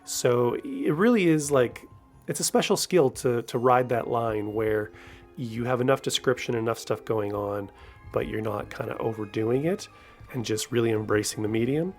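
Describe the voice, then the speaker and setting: A man's voice: medium pitch, moderate pace, soft background music. A man hosting a podcast, giving casual, rambling solo commentary in a conversational way. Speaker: podcast host.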